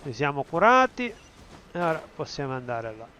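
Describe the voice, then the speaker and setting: A man's voice: on the high side, very animated, a little fast, sharp tone, slight background noise. An Italian man giving casual let's-play commentary as he streams a video game.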